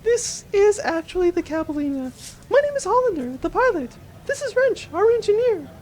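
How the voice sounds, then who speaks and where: A woman's voice: very high voice, sing-song, moderate pace, darkish tone, rough recording. A woman playing a chipper young female spaceship pilot in a comedic audio drama.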